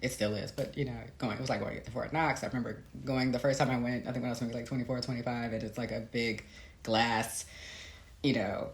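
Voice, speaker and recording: An American woman with a very deep voice and a gravelly fry, speaking as an author in an interview. She is informal and reflective, feeling her way through her thoughts in a casual chat style. The room echoes noticeably around her. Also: steady tone, brisk. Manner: informal, hesitant, laughs often, frequent "like" and "you know". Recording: roomy echo, faint background noise.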